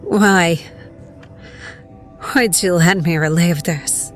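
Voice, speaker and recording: A woman playing a haunted, grieving young adventurer in an audio drama, with an anguished, halting delivery. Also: lowish voice, faint music behind. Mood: anguished, bitter. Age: young adult. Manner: anguished, halting, breathy at times.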